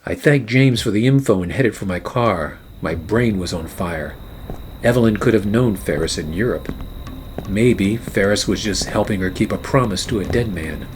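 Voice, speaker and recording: A man playing a wry, hard-boiled private eye and war veteran, narrating a noir radio play in a terse, deadpan way. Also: on the low side, normal speed, slight background noise, lightly acted. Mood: brooding. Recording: music behind the voice. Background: music.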